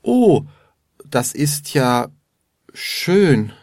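A man playing a stubborn husband in a slow, scripted dialogue for learners. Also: mid-range voice, sing-song, darkish tone, no echo, clean studio sound, pitched above the performer's own voice, exaggerated voice. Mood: giving in. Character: stubborn husband arguing with his wife.

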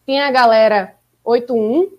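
Woman, podcast co-host, higher voice, chatty, upbeat, urging viewers. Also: steady tone, a little slow, dull audio. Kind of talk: casual live-stream chat.

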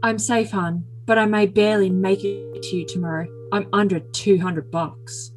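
A woman's voice: medium pitch, steady tone, normal speed, lightly acted, low-quality recording. A woman voicing an earnest, besotted young man with a solemn, stilted manner in an overly dramatic comic message reading. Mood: earnest, concerned. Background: music.

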